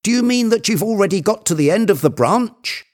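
A man voicing an excited, bossy, obsessive character who gives urgent orders in an agitated storybook tale. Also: high voice, unhurried, voice pushed up. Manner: imperious, agitated, exclaiming.

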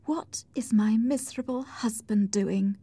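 High, melodramatic dying young wife. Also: female voice, unhurried, mellow, breathy edge, no echo. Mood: bitter, anguished. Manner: melodramatic, wailing. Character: dying young wife, feverish, self-pitying and defiant. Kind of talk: audiobook character acting.

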